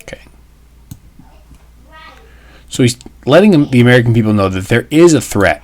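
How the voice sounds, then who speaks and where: A man's voice: medium pitch, animated, normal speed, a little mellow, slight background noise. A man speaking as a teacher, reading a text aloud and explaining it in an informal, emphatic way. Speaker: history teacher. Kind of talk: read-aloud lesson with explanations.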